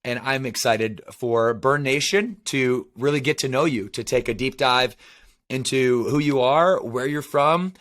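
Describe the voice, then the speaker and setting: A man's voice: mid-range voice, fairly bright tone. An American man hosting a casual interview, enthusiastic and talkative, asking long rambling questions. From United States. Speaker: podcast host.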